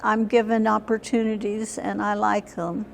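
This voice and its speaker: An older woman giving plain, matter-of-fact conversational answers as an interview guest, sometimes halting. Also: mid-range voice, level tone, gravelly, a little hiss or hum. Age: older, senior. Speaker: interview guest, senior center member.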